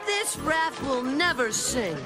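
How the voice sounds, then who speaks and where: A woman's voice: high voice, big pitch swings, slow pace, loud music behind the voice, exaggerated voice. A woman voicing a cocky, optimistic young boy with big schemes in a children's cartoon. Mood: confident, scheming.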